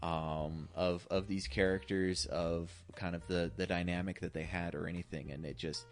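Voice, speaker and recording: A man with a deep, low-set voice holds a casual film discussion as a co-host. He is effusive and sweary, like an avid fan. Faint music plays behind him. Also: little pitch movement, normal speed. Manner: effusive, profane fan talk. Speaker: podcast co-host.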